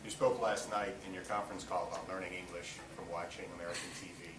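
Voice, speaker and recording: A man's voice: deep voice, fairly even intonation, fast. A man reporter asking short, direct questions at a press conference. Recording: distant, echoey room with noise.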